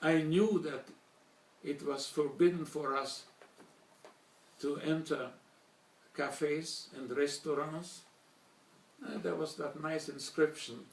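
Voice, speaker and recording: Older man from Slovakia, German his first language, deliberate formal speaker, slightly high voice, recorded from afar in an echoey hall. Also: creaky, recorded from a distance. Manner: measured, deliberate, with frequent pauses and restarts. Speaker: speaker recounting childhood memories. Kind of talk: formal talk.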